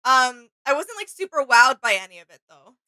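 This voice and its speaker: Bright-voiced woman chatting casually. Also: high-pitched, sing-song, normal speed, poor audio. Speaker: reaction video co-host. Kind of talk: casual reaction commentary.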